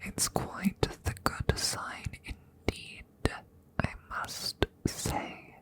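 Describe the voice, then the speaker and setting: A woman voicing a courteous, smitten female dragon in a hesitant, formal ASMR roleplay, whispering softly and breathily close to the microphone. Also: very slow. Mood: tender, intimate. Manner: hesitant, formal, old-fashioned phrasing with murmured repetitions.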